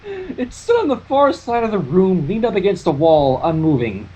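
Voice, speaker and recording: A reader narrating comic fan fiction with a husky voice, animated and stumbling, with nervous asides. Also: mid-range voice, moderate pace, low-quality recording.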